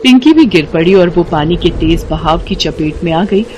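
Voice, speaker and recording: A woman narrating a scripted children's moral story as a storyteller, expressive and dramatic. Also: on the low side, normal speed, noisy background. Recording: loud rain and ambience behind.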